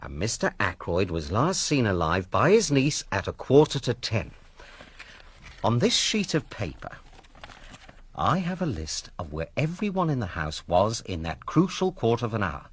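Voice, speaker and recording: An actor playing a confident, matter-of-fact police inspector in a radio drama, explaining in a cocksure, orderly way. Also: male voice, lowish voice, wildly swooping pitch, normal speed, slightly rough, full character voice.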